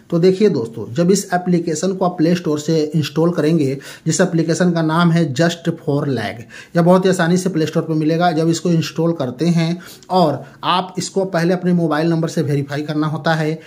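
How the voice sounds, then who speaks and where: A man's voice: a little high, a little mellow. A man hosting a tech tutorial, explaining an app step by step in a repetitive, instructional way.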